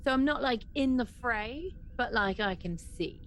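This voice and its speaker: A woman's voice: on the high side, expressive, a little slow, slight background noise. A woman playing a tabletop game, chatting casually and playfully as she improvises. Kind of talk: casual improvised role-play chat.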